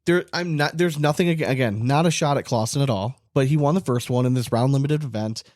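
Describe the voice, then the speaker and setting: A man's voice: medium pitch, fairly quick, a little mellow, no echo, clean studio sound. A man co-hosting a sports podcast, talking casually and animatedly, rambling and swearing for emphasis. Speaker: podcast co-host.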